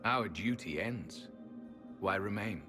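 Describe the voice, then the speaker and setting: An actor playing a solemn, weary ancient elf temple guardian in a fantasy game, speaking in grave, cryptic, formal pronouncements. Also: male voice, lowish voice, takes it slowly, over music. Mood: resigned. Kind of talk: dramatic fantasy game acting.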